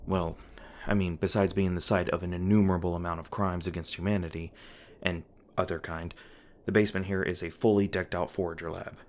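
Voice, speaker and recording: A man playing a weary, guarded monster hunter in an audio roleplay, gruff but caring, with halting, sardonic, sweary speech. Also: deep voice, level tone, close to an everyday voice. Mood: wry, casual. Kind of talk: audio roleplay, one-sided dialogue to the listener. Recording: noisy ambient sound effects behind voice. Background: ambient sound effects.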